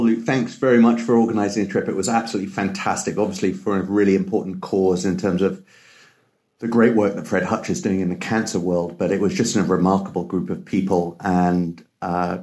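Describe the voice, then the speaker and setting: Middle-aged guy w british accent, fairly low voice, talks slow and careful with lots of uhs. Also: a little mellow. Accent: British English. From Tanzania. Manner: measured and articulate, with frequent "uh" pauses. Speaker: biotech CEO guest. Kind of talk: podcast interview.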